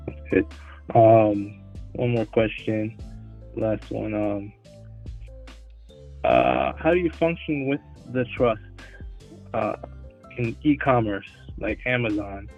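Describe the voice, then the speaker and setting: A man calling in with questions, hesitant and halting, full of ums and uhs. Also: fairly low pitch, animated, highs cut off, soft background music. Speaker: caller. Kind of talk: phone-in questions.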